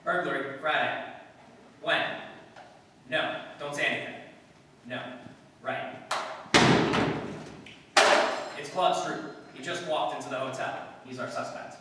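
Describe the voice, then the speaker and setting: A man's voice: medium pitch, unhurried, pauses often, natural voice. A man playing a terse, no-nonsense police detective who narrates his cases in a clipped 1950s radio drama style. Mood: businesslike. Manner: terse, deadpan, matter-of-fact. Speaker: amateur radio-play actor. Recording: echoey hall from far off, faint noise.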